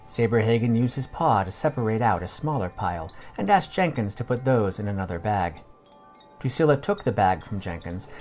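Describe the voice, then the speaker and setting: A man narrating a scripted fantasy story as a podcast storyteller, in a measured reading manner. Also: lowish voice, darkish tone.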